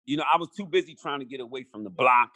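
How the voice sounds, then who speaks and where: A man's voice: medium pitch, expressive, quick pace, no room sound. A middle-aged man, a podcast guest talking bluntly and with profanity, restarting his sentences often. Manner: blunt, profane, repeats himself when starting a thought; quiet, reflective when talking about regrets. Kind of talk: casual conversation.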